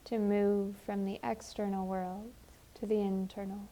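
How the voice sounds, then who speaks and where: A woman leading a guided meditation as a teacher, speaking gently and soothingly, with unhurried phrases and long pauses. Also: mid-range voice, little pitch movement.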